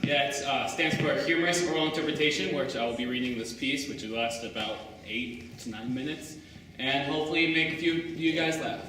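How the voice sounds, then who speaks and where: A man's voice: mid-range voice, a little fast. A teenage boy in his late teens performing a humorous interpretation piece in a wry storytelling manner. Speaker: student performer. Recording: echoey room, far camera.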